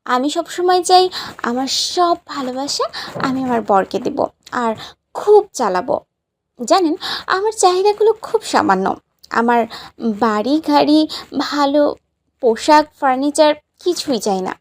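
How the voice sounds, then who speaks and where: A woman's voice: high-pitched, animated, measured pace, long pauses. A woman playing a playful, sweetly bossy young new bride in a romantic audio story, with a pouty, teasing, insistent manner. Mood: dreamy, coaxing.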